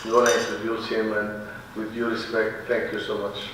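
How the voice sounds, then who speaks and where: Halting man introducing a speaker, echoey room. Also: on the low side, monotone, far from the mic, very noisy. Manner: halting, many fillers and restarts, joking. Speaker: event host introducing speaker.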